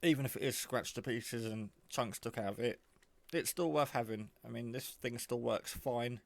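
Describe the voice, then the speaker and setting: A man giving a casual, off-the-cuff product demo, rambling in a low-key way as he thinks aloud. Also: normal speed, vocal fry, no room sound. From England. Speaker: hobbyist reviewer.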